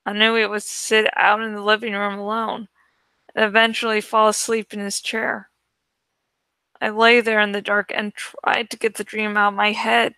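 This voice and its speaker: A woman reading a first-person novel aloud in an expressive, dramatic way. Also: medium pitch, moderate pace, clean tone, no echo. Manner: expressive, acts out the story. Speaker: audiobook reader.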